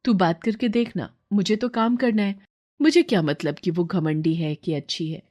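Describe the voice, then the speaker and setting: A woman voicing a humble poor mother who works as a maid, gentle, earnest and deferential, in a story. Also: mid-range voice, lively intonation, normal speed, natural voice.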